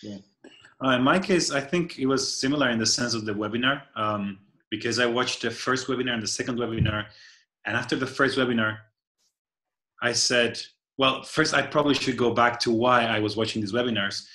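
A man in his thirties from Argentina, an engineer, speaking thoughtfully on a video call and pausing to search for words. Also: mid-range voice, sharp tone. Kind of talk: casual video call chat. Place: home room by a window. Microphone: laptop webcam on a video call.

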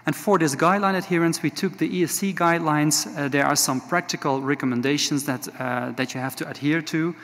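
A man in his forties with a Dutch accent, giving a measured conference talk with frequent filler sounds. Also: fairly high pitch, fairly even intonation, a bit bright. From Netherlands. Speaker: conference speaker. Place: large conference hall. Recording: reverberant conference hall.

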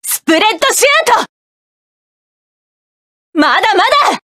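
A woman's voice: very high voice, expressive, moderate pace, fairly bright tone, no echo, clean studio sound. An actress playing a fierce, commanding young female mecha pilot who calls out attack orders in battle. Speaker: game character. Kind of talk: video game battle voice lines.

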